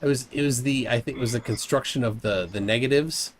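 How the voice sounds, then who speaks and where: A man's voice: mid-range voice, fairly quick, a little hiss or hum. A man with a Minnesotan accent hosting a casual late-night call-in show, rambling and restarting his sentences. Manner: rambling, self-interrupting, chuckling.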